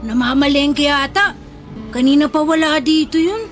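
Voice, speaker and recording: Casting: cheeky favored little boy, extremely high pitch, Filipino accent, playful radio drama sibling, light music bed. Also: normal speed, fairly bright tone. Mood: sassy. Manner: playful, childlike, sassy. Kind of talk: Tagalog radio drama acting. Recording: music under the voice.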